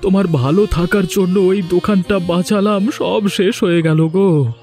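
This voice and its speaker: A man's voice: high-pitched, steady tone, normal speed, dark tone, soft background music. A man playing a principled, defiant young village man in a cartoon drama, speaking with firm challenge. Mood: grieving. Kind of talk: Bengali cartoon drama dialogue.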